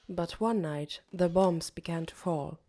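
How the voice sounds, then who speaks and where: A woman narrating an audiobook as a pensive, uneasy teenage boy, in a measured, introspective way. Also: lowish voice, mellow. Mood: somber.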